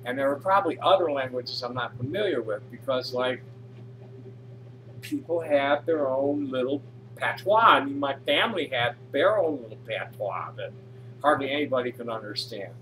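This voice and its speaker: A man's voice: medium pitch, expressive, moderate pace, a bit bright, a little hiss or hum, poor audio. A man casually reading posts aloud and commenting on them, rambling with frequent restarts and fillers. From North America. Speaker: reader of social media posts.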